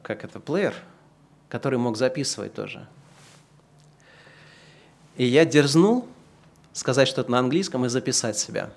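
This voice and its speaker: Male pastor, 30s, sermon delivery: slow emphatic build-ups, then easy conversational asides. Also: mid-range voice, gravelly. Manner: swings from slow, loud emphatic preaching to quieter conversational asides.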